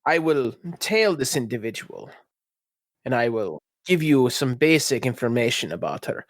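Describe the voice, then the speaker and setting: A man's voice: mid-range voice, big pitch swings, moderate pace, dry recording, lightly acted. Man as a shady, sly pickpocket informant. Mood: firm, businesslike. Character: sheepish, shady male pickpocket informant, bargaining slyly.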